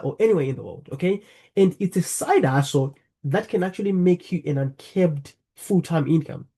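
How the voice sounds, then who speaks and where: Man from Zimbabwe, earnest presenter talking through slides, husky and mellow tone, leans on fillers. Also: fairly high pitch. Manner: earnest, repeats phrases, frequent fillers. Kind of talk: presenting a talk over slides. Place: lives in the UK.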